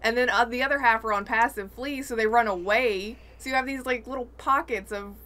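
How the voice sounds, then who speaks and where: A woman's voice: fairly high pitch, brisk, sharp tone. A woman co-hosting a podcast, chatting casually about games. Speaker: podcast co-host. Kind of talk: casual gaming chat.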